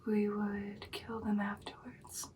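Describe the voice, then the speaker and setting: A woman playing a devoted, hesitant vampire girlfriend in an ASMR roleplay, speaking in a soft, breathy, halting whisper. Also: mid-range voice, monotone, far from the mic, slight background noise, lightly acted. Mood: doubtful, intrigued. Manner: soft, halting, deliberate, intimate. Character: devoted, hesitant female vampire girlfriend plotting with her werewolf lover.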